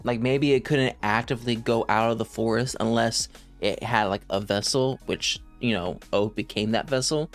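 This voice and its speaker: A man's voice: mid-range voice, normal speed, soft background music. A young adult man giving casual, unscripted reaction commentary as host of a video.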